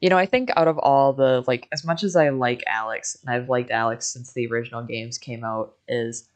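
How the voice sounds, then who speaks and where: A woman's voice: extremely low pitch, fairly even intonation, brisk. A woman in a casual chat commenting on a video game as a streamer, speaking in an opinionated, candid way.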